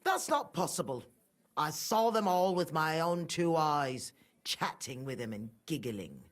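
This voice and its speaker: A middle-aged religious fanatic in a medieval video game. She is haughty and sanctimonious, sneering with defiance. Her pitch swings widely as she condemns without remorse. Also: sing-song, measured pace. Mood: cold contempt. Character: pious, murderous mother, haughty, fanatical and unrepentant. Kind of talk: dramatic video game acting.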